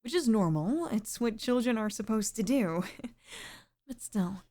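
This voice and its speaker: A woman plays a sweet middle-aged single mom in an intimate ASMR roleplay. Her voice is mellow and unhurried, with soft pauses and sighs. She sounds affectionate, gently flirtatious and a touch lonely. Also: fairly high pitch, expressive. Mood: wistful, tender.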